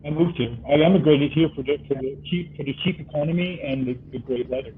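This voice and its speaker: A man's voice: a little high, quick pace. Older man on a muffled, tinny mic. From Canada. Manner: rambling, hesitant, trailing off. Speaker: fellow player on voice chat. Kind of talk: casual gaming chat. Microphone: voice-chat mic.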